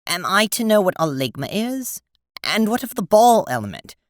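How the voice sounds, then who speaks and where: A woman's voice: mid-range voice, big pitch swings, moderate pace, noisy background, lightly acted. A woman playing a prim, earnest, easily confused alien troll who speaks formally in an audio drama. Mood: confused, exasperated. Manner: formal, wordy.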